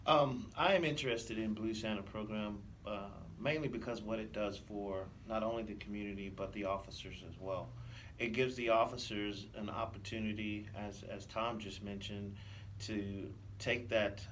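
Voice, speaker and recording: A man speaking as a police officer in an interview, explaining earnestly in formal wording with hesitant pauses. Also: lowish voice, fairly even intonation, fairly bright tone, a little hiss or hum, poor audio.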